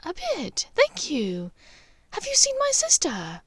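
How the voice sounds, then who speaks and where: A woman's voice: very high voice, wildly swooping pitch, slow, a bit bright, voice pushed up, exaggerated voice. A woman voicing a skittish young man posing as his genteel mother in a storybook, airy and forcedly polite. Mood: airy, uneasy.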